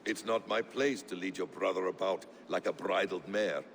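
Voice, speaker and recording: Man playing a deep, composed, enigmatic advisor. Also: steady tone, lightly acted. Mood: calm, measured. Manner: measured, philosophical, wry. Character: composed, enigmatic Middle Eastern scholar-warrior advisor. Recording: game dialogue over faint ambient noise.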